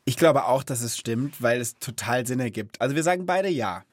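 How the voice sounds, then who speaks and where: An encouraging host of a children's podcast speaks in a casual, reflective way. The pitch sits in the middle and the delivery is conversational. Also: expressive, moderate pace, no echo, clean studio sound.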